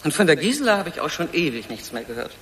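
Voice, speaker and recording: A woman's voice: sits low, very animated, fast, a bit bright, recorded from a distance, slight background noise. An older woman playing a scolding, reproachful mother of a grown daughter in a radio drama. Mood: needling. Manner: scolding, accusatory.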